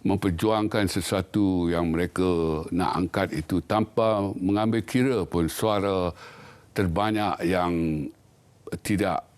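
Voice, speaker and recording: A man from Malaysia, a professor guest on a studio panel discussion, speaking in a measured, thoughtful way with frequent hesitations. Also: deep voice, steady tone.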